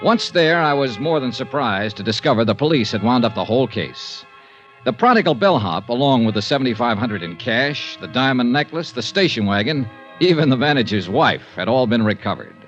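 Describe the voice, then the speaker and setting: Guy acting hard-boiled 50s radio investigator, dry and clipped, really moves his pitch around, muffled old recording. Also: animated, brisk, soft background music, lightly acted. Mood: dry, brisk. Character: tough, wry insurance investigator narrating his case. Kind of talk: 1950s radio detective drama, first-person narration.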